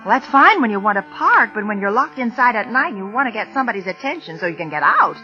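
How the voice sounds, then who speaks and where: Chatty secretary, lively higher pitch, expressive storytelling, old radio suspense, dulled archival sound. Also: female voice, quick pace. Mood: rueful storytelling. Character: chatty, flustered working secretary narrating her mishap. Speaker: radio drama actress. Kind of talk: 1940s-50s American radio suspense acting. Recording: muffled vintage radio recording, music behind.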